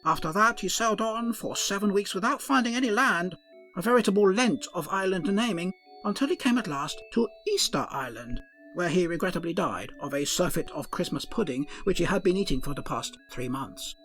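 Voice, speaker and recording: A man's voice: sits high, lively intonation, fairly quick, a bit bright, soft background music. A man voicing a fussy, earnest male ship's doctor who speaks formally in an odd, blended put-on accent, for a comic audio drama.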